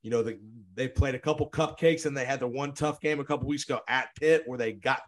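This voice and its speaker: A man hosting a sports podcast, interviewing guests in an energetic, quick manner, often repeating words. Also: mid-range voice.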